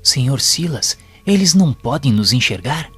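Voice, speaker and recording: A man's voice: mid-range voice, sing-song, moderate pace, fairly bright tone, breathy edge, full character voice. A Brazilian man playing an earnest, inquisitive spirit apprentice in an audio drama, questioning formally and respectfully. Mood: curious, respectful. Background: faint music.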